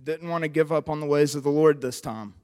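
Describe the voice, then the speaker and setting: A man's voice: on the high side, steady tone, mellow, clean tone. Earnest young West Virginian man. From West Virginia, USA. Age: 20s. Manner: earnest, conversational, frequent "um" pauses. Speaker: recovery program member giving testimony. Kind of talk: personal testimony to a church audience.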